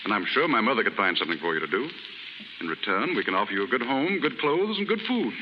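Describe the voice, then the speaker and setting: Lively, kindly gentleman novelist. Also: lowish voice, quick pace. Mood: comforting. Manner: polite, easygoing, gently amused. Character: kindly, well-off male novelist, amused and reassuring. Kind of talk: 1930s radio acting. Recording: muffled, very noisy, reverberant 1930s radio recording.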